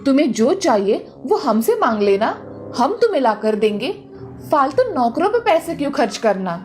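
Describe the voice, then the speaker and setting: Woman voicing a high, bossy, greedy mother-in-law. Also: very animated, normal speed, faint music behind. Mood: coaxing. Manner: sharp, domineering, taunting. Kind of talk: animated moral-story cartoon dialogue.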